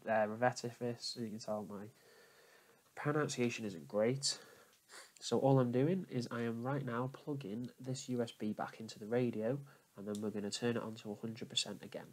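A man's voice: mid-range voice, a little mellow. A man giving a casual, informal how-to walkthrough, explaining each step and often repeating himself. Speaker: tutorial presenter.

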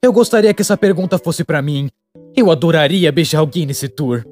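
A Brazilian man voicing a young, wry cartoon storyteller in a chatty, animated dubbed narration. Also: on the high side, fairly quick, faint music behind, natural voice.

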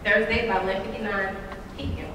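A woman's voice: mid-range voice, flat delivery, quick pace, fairly bright tone, lightly acted. A woman acting a sassy young churchgoing college student with an American accent in a live stage play. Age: young adult. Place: theater stage, picked up by a far camera. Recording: echoey theater stage, distant camera, noisy.

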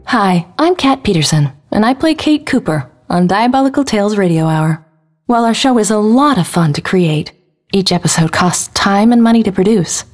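A woman's voice: mid-range voice, lively intonation, normal speed, faint music behind. A woman, an actress in the show, reading a scripted promotional appeal to listeners.

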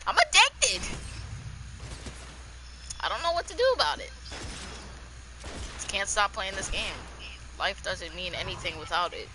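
A young man streaming a game, chatting casually and joking loudly with a friend. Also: high-pitched, huge pitch swings, a little slow, pauses often. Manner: loud, jokey, swings between shouting and mumbling. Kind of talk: casual gaming banter. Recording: noisy game audio behind.

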